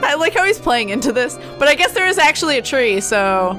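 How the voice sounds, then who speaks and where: A woman co-hosting a gaming video with casual, excitable, self-mocking commentary. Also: sits high, brisk, soft background music.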